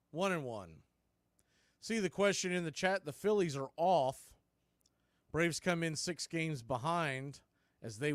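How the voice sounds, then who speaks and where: Dry-sounding man calling baseball play-by-play. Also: no room sound. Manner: clipped pitch calls mixed with conversational asides. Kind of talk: live sports play-by-play commentary with scoreboard asides.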